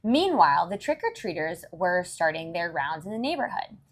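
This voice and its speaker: A woman's voice: lowish voice, big pitch swings, normal speed. A woman reading a storybook aloud to young children, with encouraging, explanatory asides.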